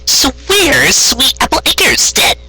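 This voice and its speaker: A man's voice: extremely high pitch, animated, slow pace, creaky, pushed and bright, soft background music, voice pushed up, heavily acted. A man voicing a haughty, impatient young female unicorn magician in a story, with dry, accusing delivery. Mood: sarcastic, irritated.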